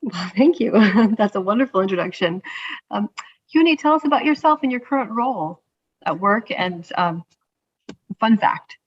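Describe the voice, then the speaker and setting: A woman's voice: mid-range voice, expressive, moderate pace, slightly rough, lo-fi sound. A woman hosting an online interview webinar, friendly and casual, with frequent fillers, over a video call. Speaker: host.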